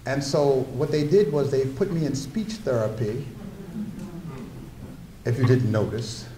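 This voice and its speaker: A man's voice: mid-range voice, expressive, measured pace, heavy background noise. An older man giving a storytelling lecture at a podium microphone, sharing personal anecdotes with asides to the audience. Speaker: guest lecturer. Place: lecture room at a wooden podium. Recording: roomy lecture room.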